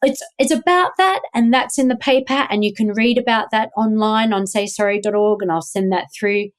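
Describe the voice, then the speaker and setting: A woman's voice: mid-range voice, normal speed. A woman with a Melbourne accent, speaking as a guest in a casual interview, rambling with many ums and restarts. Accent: Australian (Melbourne). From Australia. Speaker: guest, activist.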